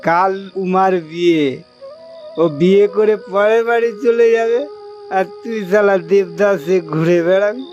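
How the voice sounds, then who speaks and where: A man's voice: sits high, slow pace, a little mellow, highs cut off, faint music behind. A man voicing a mocking, teasing village drinking buddy in a horror cartoon. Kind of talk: Bengali horror cartoon dialogue.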